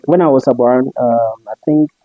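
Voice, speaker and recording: A man's voice: mid-range voice, moderate pace, no echo, phone-quality sound. A young man from Ghana whose accent mixes Ghanaian and international English, chatting casually as an interviewer and often laughing. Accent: Ghanaian mixed with international English. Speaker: interviewer and web show host.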